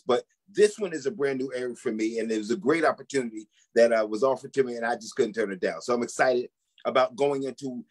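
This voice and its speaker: Fast-talking husky male pastor. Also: medium pitch. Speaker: pastor, interview guest. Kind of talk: casual conversational interview.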